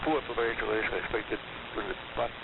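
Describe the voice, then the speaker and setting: Male radio host, brisk and gravelly delivery with deliberate repetition, narrow shortwave sound. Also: medium pitch, flat delivery. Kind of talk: scripted radio program narration on technical hobby topics. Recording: hissy, noisy shortwave radio reception. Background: radio static and hiss.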